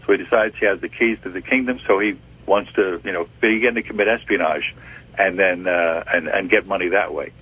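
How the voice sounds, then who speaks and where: Husky older man recalling his career. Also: low-pitched, fairly bright tone, low-quality recording. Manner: measured, reminiscing, with frequent "uh" pauses. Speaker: retired FBI agent. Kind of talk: interview storytelling.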